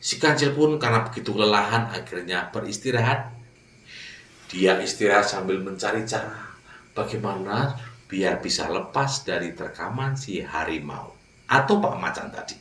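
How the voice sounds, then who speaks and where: A man's voice: lowish voice, normal speed, a bit bright, reverberant, far from the mic, slight background noise. A man narrating a fable for children as a storyteller, addressing his young listeners directly.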